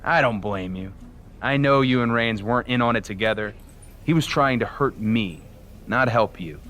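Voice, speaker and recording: A man's voice: mid-range voice, moderate pace, fairly bright tone, close to an everyday voice. A man playing a proud, self-assured wrestling rival in a video game, speaking firmly. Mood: measured, firm. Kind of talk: dramatic video game dialogue. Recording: faint background noise.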